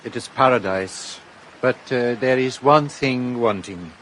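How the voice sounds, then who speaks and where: A weary foreign guest, as an actor plays him speaks very slowly and hesitantly in a radio play. A faint hum sits behind the voice. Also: male voice, mid-range voice, a bit bright, close to an everyday voice, low-quality recording. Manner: slow, hesitant. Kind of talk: radio drama acting.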